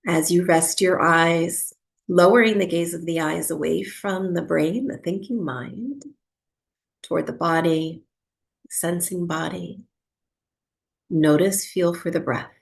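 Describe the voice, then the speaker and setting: A woman with a fairly low voice and a strong creak leads a guided meditation as a yoga teacher. Her delivery is soft and soothing, with unhurried phrases and long pauses that suit winding down for sleep.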